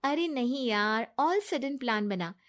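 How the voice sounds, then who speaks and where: A woman voicing a naive, soft-hearted young wife, earnest and pleading, in a moral story. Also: fairly high pitch, lively intonation, slow, voice pushed up. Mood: chatty, hopeful.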